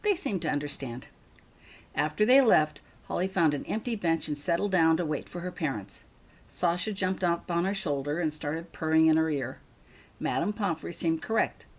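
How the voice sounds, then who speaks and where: A woman reading scripted audiobook narration of a story as its author. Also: sits low. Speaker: author reading their own fan fiction.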